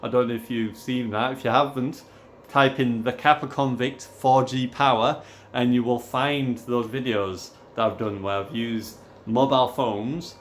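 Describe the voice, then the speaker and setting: A man, hoarse from losing his voice, talking casually and at length to his viewers as a gaming YouTuber. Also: medium pitch, steady tone, a little slow, slight background noise. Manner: rambling, repeats "obviously" and restarts often. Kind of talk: casual rambling vlog talk.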